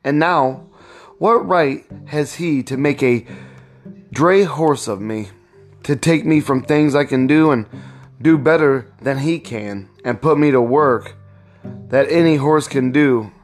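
A man plays an aggrieved young husband in a storybook, proud and defiant. The pitch is pushed up with insistent emphasis, and the delivery stays slow. Faint music runs underneath. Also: natural voice. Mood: indignant, defiant. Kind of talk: storybook read-aloud.

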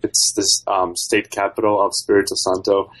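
A young man answers interview questions conversationally. His voice is fairly low, and he talks as a researcher explaining his own path. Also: completely flat delivery, normal speed, a bit bright, low-quality recording. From Brazil. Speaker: podcast guest, doctoral researcher.

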